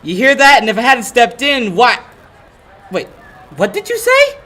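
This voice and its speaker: Young man playing a hapless, animated lawyer. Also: extremely high pitch, measured pace, heavily acted. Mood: indignant. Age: young adult. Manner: flustered, earnest. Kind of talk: 1940s radio play acting.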